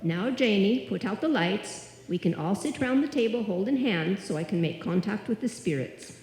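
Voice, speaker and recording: Woman playing a theatrical, mellow Irish landlady widow. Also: medium pitch, lively intonation, normal speed, slight background noise, close to an everyday voice. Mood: bossy. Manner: dramatic, crooning, imperious. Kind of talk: live old-time radio comedy acting. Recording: echoey hall, live stage performance.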